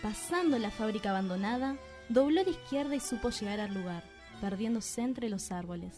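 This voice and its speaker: A woman's voice: mid-range voice, animated. Suspenseful Argentine woman narrator. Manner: measured, suspenseful storytelling. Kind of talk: scripted radio drama narration. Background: music.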